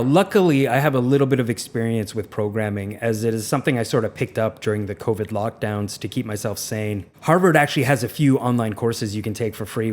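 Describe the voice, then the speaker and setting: Male host, mid-range pitch, a touch raspy today, plain candid explainer tone. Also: a little mellow. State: slightly raspy voice. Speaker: music software reviewer. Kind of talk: scripted video narration.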